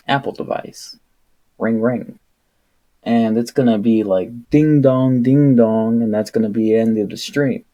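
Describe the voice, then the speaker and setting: A man livestreaming a book reading in a casual, rambling, irreverent way, with a husky voice and an exasperated, sweary manner. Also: fairly low pitch, warm tone. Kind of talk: casual rambling livestream with read-aloud passages.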